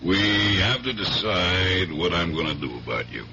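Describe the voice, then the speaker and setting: A tough, fatherly police superior in a radio play. His voice is very deep and creaky, firm and plain-spoken. It comes through on a dull old recording. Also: very animated, unhurried, bright, forceful tone, full character voice. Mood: firm, concerned. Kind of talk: 1970s radio drama acting. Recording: dull vintage radio recording, faint hiss.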